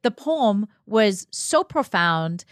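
A woman's voice: medium pitch, sing-song, takes it slowly, no echo, clean studio sound. A woman speaking as a podcast guest in a casual conversational style.

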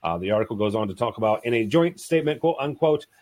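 A man's voice: mid-range voice, animated, fast, darkish tone. A man hosting a podcast panel, with a mid-range voice. He introduces his guests with jokes and reads the news in an upbeat, chatty way. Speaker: podcast host.

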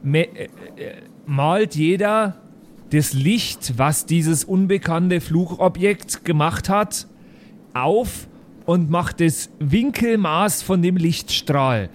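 A man playing a fussy, sceptical maths teacher who speaks haltingly and deliberately in an improvised tabletop audio play. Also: on the high side, a little hiss or hum, voice pushed up. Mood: instructing.

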